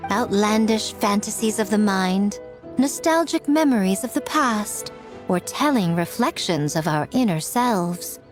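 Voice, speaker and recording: Playful, theatrical shrine priestess for a game: animated mid-pitched voice, knowing, self-assured, faint music. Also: female voice. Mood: poised, ceremonial. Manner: teasing, poised, theatrical. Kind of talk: video game voice acting.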